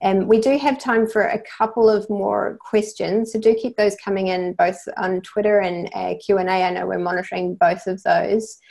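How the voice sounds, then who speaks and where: A woman from New Zealand with a New Zealand accent, moderating a webinar, thanking speakers and passing along questions in a measured way. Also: mid-range voice, fairly even intonation, creaky, darkish tone. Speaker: webinar host.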